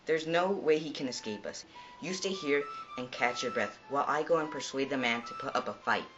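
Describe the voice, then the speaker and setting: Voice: mid-range voice, fairly quick, muffled recording, music in the background, lightly acted. A performer playing a bold, eager war goddess in a mythological puppet show. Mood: eager, scheming.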